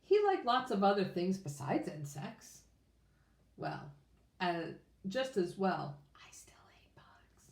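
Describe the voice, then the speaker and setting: A woman reading a children's storybook aloud in an expressive, informal way. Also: medium pitch, slow pace. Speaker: children's book reader. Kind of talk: read-aloud storybook narration. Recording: echoey room.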